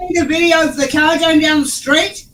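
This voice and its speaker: A woman with the flu and a hoarse voice, chatting bluntly and casually. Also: sits high, fairly even intonation, normal speed, a bit bright, far from the mic, slight background noise. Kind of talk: casual chat.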